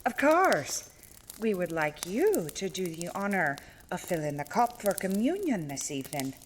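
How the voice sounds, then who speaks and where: Woman acting as a nun, slow deliberate delivery, solemn, devout, dry wit, horror radio play, noise in the recording. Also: on the low side, very animated. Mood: humble, polite. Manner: measured, formal, solemn. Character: calm, devout convent nun, wry and gravely insistent. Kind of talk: horror audio drama acting.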